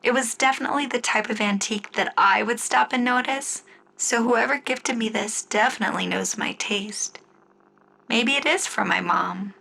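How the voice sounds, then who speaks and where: A woman narrating a scripted first-person story in the style of an old-time radio show, with deliberate storytelling phrasing. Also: on the high side, creaky, sharp tone, slight background noise, low-quality recording. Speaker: writer and narrator of an audio story.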